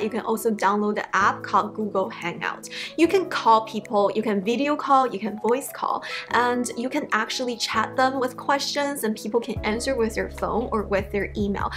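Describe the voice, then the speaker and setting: A woman in her thirties whose first language is Chinese, giving casual study advice to camera from a living-room armchair, chatty and animated. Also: a little high. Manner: chatty, animated, acts out example emails. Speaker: educator YouTuber.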